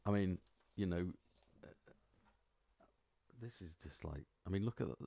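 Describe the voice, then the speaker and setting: A man's voice: low voice, measured pace, creaky, dark tone. A man co-hosting a podcast, chatting casually and reacting to the story with short interjections. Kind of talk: casual chat.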